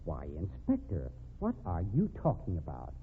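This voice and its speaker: A man's voice: low voice, wildly swooping pitch, moderate pace, slight background noise, full character voice. An actor playing a shrewd, hard-boiled man with a wry, taunting tone in an old-time radio crime drama. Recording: tinny band-limited old radio recording.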